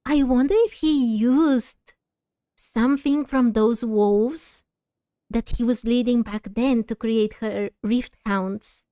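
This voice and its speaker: A woman's voice: fairly high pitch, steady tone, slow pace, warm tone, no echo. A woman streamer giving casual gameplay commentary, musing and thinking aloud close to the microphone. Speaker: streamer playing a story game.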